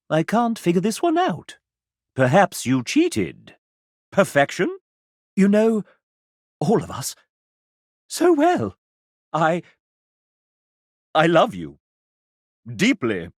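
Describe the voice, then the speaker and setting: An actor voicing a sassy, flamboyant video game host, speaking in a grandiloquent, arch and melodramatic way. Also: male voice, sits high, huge pitch swings, slow, long pauses, no echo, studio quality, exaggerated voice. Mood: smitten, grand. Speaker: voice actor playing a game show host character. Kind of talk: theatrical video game character acting as a game show host.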